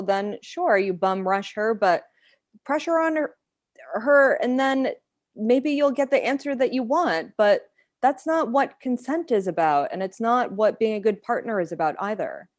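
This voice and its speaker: A woman's voice: a little high, animated, normal speed, dry recording, studio quality, lightly acted. A woman acting an exasperated, principled girlfriend in a table read, frank and reproachful. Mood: indignant, firm. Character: exasperated, principled girlfriend calling out her partner.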